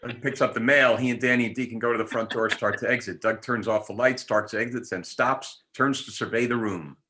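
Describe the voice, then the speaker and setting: A man's voice: medium pitch, steady tone, fairly quick, bright, forceful tone. A man reading script stage directions aloud at a table read.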